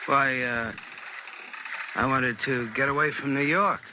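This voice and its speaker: An actor playing a haunted, fearful fugitive in an old-time radio drama, speaking in a hesitant, troubled, confessional way. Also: male voice, mid-range voice, fairly even intonation, unhurried, background noise, natural voice. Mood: guarded. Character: haunted, fearful fugitive man hiding among sailors. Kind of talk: old-time radio drama acting with first-person narration. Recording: muffled vintage radio recording, loud music.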